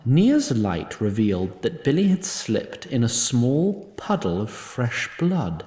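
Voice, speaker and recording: A man's voice: mid-range voice, wildly swooping pitch, slow pace, mellow, roomy sound. A man narrating a scripted fantasy story in a wry, literary manner.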